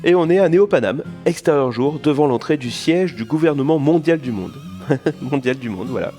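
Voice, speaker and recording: A self-mocking comic narrator, played by a man, rambles chattily in a slightly high voice. He grumbles with mock nostalgia and wanders off track, over light background music in an audio play. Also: animated, normal speed, warm tone, natural voice. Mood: mock indignant.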